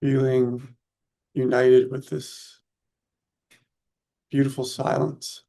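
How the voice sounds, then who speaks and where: A man leading a guided meditation in short, unhurried, soothing phrases with long pauses between them. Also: medium pitch, completely flat delivery, gravelly, dark tone.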